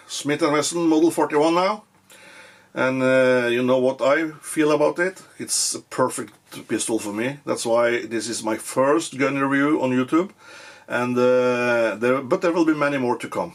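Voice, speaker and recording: A man whose first language is Norwegian, giving a casual firearm review in English with frequent pauses and restarts. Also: mid-range voice. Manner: halting, many fillers and restarts. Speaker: gun reviewer.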